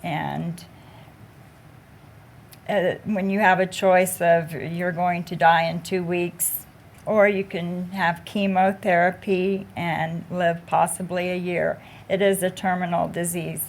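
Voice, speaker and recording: Female panelist sharing her story; slow, hesitant delivery, lowish voice, faint noise. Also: flat delivery. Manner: slow, halting, with many fillers. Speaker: patient panelist. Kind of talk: panel discussion, personal story.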